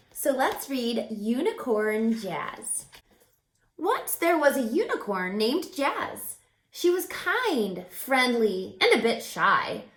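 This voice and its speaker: A woman reading a children's picture book aloud as a teacher, expressively, pausing to put questions to young listeners. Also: a little high, roomy sound.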